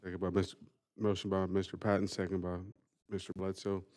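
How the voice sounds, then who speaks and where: Very deep, flat-voiced man chairing a meeting. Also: brisk. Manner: formal, steady roll-call cadence. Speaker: school board president. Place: board meeting room.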